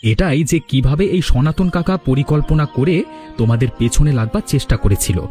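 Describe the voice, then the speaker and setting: A man's voice: fairly high pitch, animated, moderate pace, dark tone, faint music behind, close to an everyday voice. A man voicing a sly, mischievous young village man in a cartoon drama. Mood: earnest.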